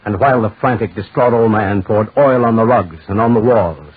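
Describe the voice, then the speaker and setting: A man's voice: fairly low pitch, normal speed, breathy edge. A man narrating grave, dramatic horror in 1940s radio style with emphatic repetition. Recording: muffled scratchy 1940s radio recording.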